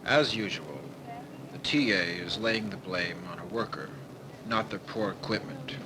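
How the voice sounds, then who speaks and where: A man's voice: low voice, unhurried. An American man narrating a political documentary. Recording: noisy old newsreel sound.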